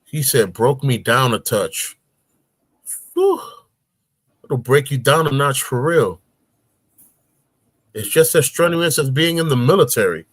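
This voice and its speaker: A man's voice: medium pitch, expressive, a little slow, pauses often, a bit bright, no room sound. A man in his forties, a guest on a casual talk show, telling stories with energy and acting out grunts and voices. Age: 40s.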